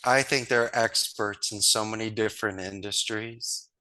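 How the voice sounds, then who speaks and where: Upbeat bright-voiced man. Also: lowish voice, steady tone, unhurried. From Northeast Philadelphia, USA. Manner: enthusiastic, storytelling, folksy sayings. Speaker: guest, call center founder. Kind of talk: casual interview talk.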